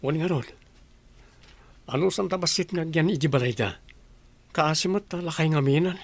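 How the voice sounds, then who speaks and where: A man's voice: fairly high pitch, normal speed, no echo. A man acting as a caring, earnest young husband in an Ilocano radio drama, with a Filipino Ilocano accent. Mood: casual.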